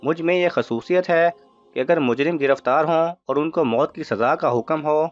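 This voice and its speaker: Male narrator as a bold disguised sultan, highish. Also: close to an everyday voice. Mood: assured. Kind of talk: children's story dialogue. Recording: muffled audio with music underneath.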